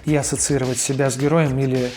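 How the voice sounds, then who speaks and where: A man's voice: medium pitch, little pitch movement, quick pace, darkish tone. A man narrating an informal scripted video essay as its host, in a colloquial, opinionated manner. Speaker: host of a cartoon retrospective video.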